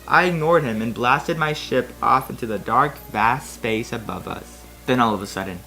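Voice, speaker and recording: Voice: medium pitch, moderate pace, sharp tone, faint music behind. A person giving a comedic dramatic reading of fan fiction, giggly and breaking into laughter between asides.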